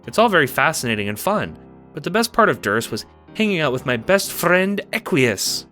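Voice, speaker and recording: A man playing an excitable, affectionate young cat-like alien troll girl, rambling playfully as narrator of a fan audio drama. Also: fairly high pitch, moderate pace. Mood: cheerful reminiscing. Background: music.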